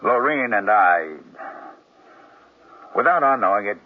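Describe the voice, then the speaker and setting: A deep-voiced guilty husband. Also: male voice, animated. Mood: strained. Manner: strained, halting, apologetic. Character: guilty middle-aged novelist husband, defensive. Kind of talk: 1970s radio drama acting. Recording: tinny, narrow old radio broadcast with faint hiss.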